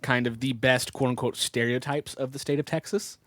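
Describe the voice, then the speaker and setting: A man's voice: medium pitch, fairly even intonation, moderate pace, a bit bright, dry recording, clean studio sound. A young man co-hosting a casual podcast, teasing his friends and steering the talk. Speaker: podcast co-host. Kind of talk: casual chat. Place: restaurant.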